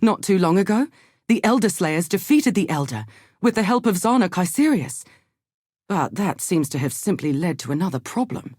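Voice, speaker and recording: An earnest, scholarly woman from a fantasy video game, a survivor of a fallen land. Her voice is fairly low and husky, very closely and dryly recorded. She delivers scripted lines in measured, articulate phrases with a grave weight. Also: lively intonation, a little mellow, dry recording, studio quality. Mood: thoughtful, informative. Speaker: game character giving lore and quests.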